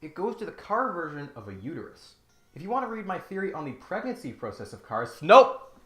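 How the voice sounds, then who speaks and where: A man with a high, forceful voice delivers a sarcastic scripted comedy critique. He is emphatic and mock-grandiose as host, and the room adds echo around him, with music behind. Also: very animated, a little fast.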